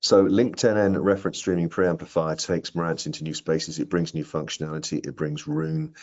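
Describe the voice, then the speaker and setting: Male product presenter, middle-aged, extremely deep and husky, slightly lo-fi video call sound. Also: steady tone, moderate pace, a little mellow. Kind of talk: product training presentation with question and answer. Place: indoor room on a video call. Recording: dull, lo-fi video call audio.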